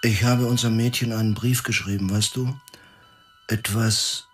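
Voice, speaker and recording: An actor in an audio drama playing a gentle condemned prisoner, a husband and father speaking in a subdued, halting, earnest way. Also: lowish voice, steady tone, husky, faint music behind. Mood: subdued, tender. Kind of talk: German audio drama acting.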